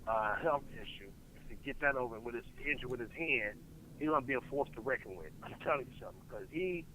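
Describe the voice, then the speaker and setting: Male sports co-host, quick-talking, bright and fairly high voice, agreeable, repeats himself. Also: animated, a little hiss or hum, poor audio. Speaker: sports talk show co-host. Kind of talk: casual sports talk.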